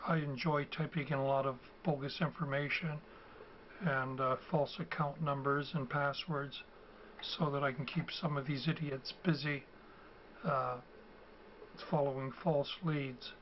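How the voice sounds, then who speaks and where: An older man who has a cold and is losing his voice, explaining things slowly and haltingly with many ums and uhs. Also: medium pitch, fairly even intonation. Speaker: video host. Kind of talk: casual explanatory talk. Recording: dull, lo-fi home recording with faint ambient noise.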